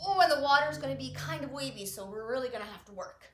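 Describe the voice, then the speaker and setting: A woman hosting story time for young children, talking and reading aloud in an animated way, addressing them as friends. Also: high-pitched, fast talker. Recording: roomy echo, faint music.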